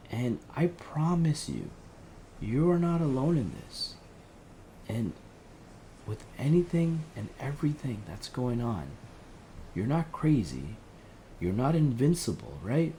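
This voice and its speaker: A man's voice: medium pitch, big pitch swings, takes it slowly, long pauses, mellow, slight background noise, rough recording. A man playing an earnest, empathetic crisis helpline operator in an audio drama, reassuring and imploring in short, repeated pleas.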